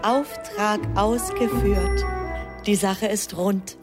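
A woman plays a businesslike angel agent reporting to a superior in a German radio drama. Her mid-pitched voice is precise and a little pointed, over faint music. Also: fairly even intonation, slow, natural voice. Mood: dutiful, pointed. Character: efficient, deferential female angel, a subordinate agent reporting. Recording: music behind the voice.

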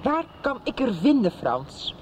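Stern, determined woman, animated delivery. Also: fairly high pitch, measured pace, slight background noise. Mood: insistent. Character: stern, determined, fearless sister-in-law. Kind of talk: Dutch radio soap acting.

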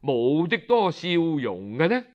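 Guy doing an old cantonese king in a story, stately and slow, dull lo-fi sound. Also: a little high, big pitch swings. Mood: tipsy, puzzled. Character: aging male king, self-important, coaxing his young wife.

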